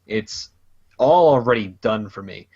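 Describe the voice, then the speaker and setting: Laid-back gaming podcaster, muffled audio. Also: male voice, fairly low pitch, a little slow, husky. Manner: relaxed, rambling, laughs often. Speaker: podcast host and gaming YouTuber. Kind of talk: casual chat.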